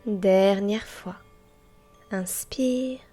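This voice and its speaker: Female meditation guide: mellow, breathy and gentle, very unhurried delivery, soft chimes and music underneath. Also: mid-range voice. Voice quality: soft, breathy, often near a whisper. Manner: gentle, unhurried, with long pauses between short phrases. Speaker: guided meditation teacher and host. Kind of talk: guided yoga nidra meditation, slow and soothing.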